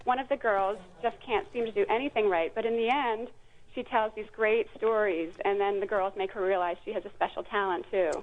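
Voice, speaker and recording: A woman's voice: mid-range voice, steady tone, brisk. A woman guest, a children's book creator, explaining products conversationally over a phone line. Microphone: telephone. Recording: thin phone line call.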